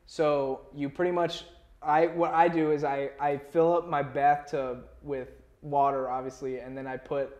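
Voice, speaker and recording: A man's voice: on the high side, flat delivery, unhurried, darkish tone. A young man talking casually as an interview guest, rambling and slangy, peppering his sentences with "like". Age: young adult. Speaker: musician guest. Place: small furnished indoor room. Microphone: clip-on lapel mic.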